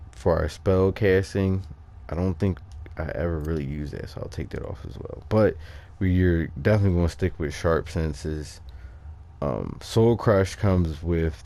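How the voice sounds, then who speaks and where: A man giving casual, off-the-cuff game walkthrough commentary, thinking aloud in a halting way. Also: low voice, darkish tone. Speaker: gaming video host.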